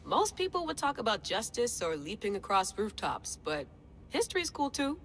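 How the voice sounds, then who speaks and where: A woman's voice: a little high, very animated, moderate pace, fairly bright tone. An actress playing a driven young woman researcher-assassin in a video game, dry, wry and self-assured. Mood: wry, friendly. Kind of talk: video game cutscene acting.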